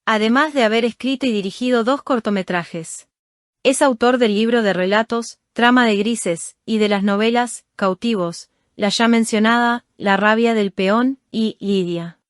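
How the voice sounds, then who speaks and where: Woman radio host, dry clear read. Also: fairly high pitch, unhurried, no echo. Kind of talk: reading introduction and interview questions.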